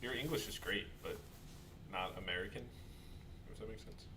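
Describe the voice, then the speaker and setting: A man's voice: lowish voice, moderate pace. A man co-hosting a casual podcast, laid-back and laughing easily as he reads out viewer questions. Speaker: podcast co-host. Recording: distant mic with loud noise.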